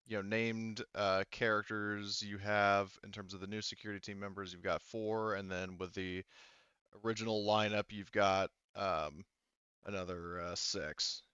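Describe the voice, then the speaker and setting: A man's voice: fairly low pitch, level tone, a little slow, sharp tone, clear, resonant tone, no room sound, highs cut off. A man casually moderating a tabletop game as game master, hesitant and thinking aloud as he goes.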